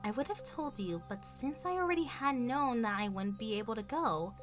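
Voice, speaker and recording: A woman playing a caring, firm young pony princess in an animated cartoon drama, earnest and emphatic. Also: fairly high pitch, moderate pace, lightly acted. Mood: apologetic, firm. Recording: music behind the dialogue.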